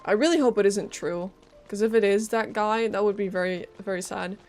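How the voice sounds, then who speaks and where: Chatty woman streamer, medium pitch. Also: brisk, a little mellow, soft background music. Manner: informal, chatty reactions, swears. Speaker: live streamer playing a game. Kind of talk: casual game stream commentary.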